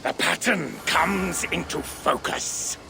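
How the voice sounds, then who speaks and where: An actor playing a sly, half-mad monk in a video game, creaky and portentous, speaking in riddles. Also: male voice, fairly high pitch, slow, noisy background, heavily acted. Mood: ominous satisfaction. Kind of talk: dramatic video game acting.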